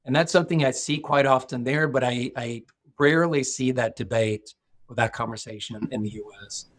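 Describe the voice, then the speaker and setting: A middle-aged man from Norway, a guest speaking thoughtfully in a podcast interview, often restarting his sentences. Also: medium pitch. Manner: thoughtful, measured, with frequent restarts. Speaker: guest, security executive.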